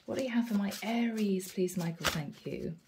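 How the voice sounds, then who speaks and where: A woman's voice: medium pitch, moderate pace, slight background noise. Stuffy-voiced woman giving a tarot reading. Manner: musing, soft, thinks aloud with hmms. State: head cold, stuffy voice. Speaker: tarot reader. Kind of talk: tarot card reading to camera.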